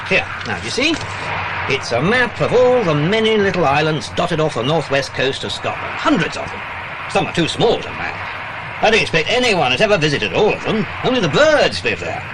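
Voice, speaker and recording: A hearty, protective detective in a children's audio drama, with a fairly high male voice and hugely animated intonation. He reassures his young friends over vehicle noise. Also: fairly quick. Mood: keen, cheerful.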